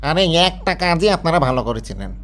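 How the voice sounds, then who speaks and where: A man's voice: on the high side, very animated, fast, a bit bright. A man with a Bangladeshi accent playing a sarcastic, exasperated interviewer who scolds and mocks in a colloquial satirical phone-call sketch. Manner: scolding, mocking, incredulous.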